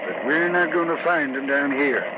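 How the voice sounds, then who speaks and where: A man's voice: a little high, normal speed, close to an everyday voice. An actor playing an urgent, grim, emphatic man in an old-time radio suspense drama. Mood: grim, resigned. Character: urgent, grim man warning of a ghost ship. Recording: dull, very noisy old radio recording.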